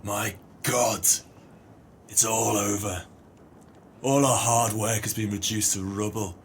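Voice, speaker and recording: A man's voice: on the low side, steady tone, takes it slowly, slight background noise. A man playing a bitter, scheming swindler in an audio play, with theatrical, grandiloquent speech. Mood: despairing outrage. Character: bitter, scheming male swindler, eloquently exasperated.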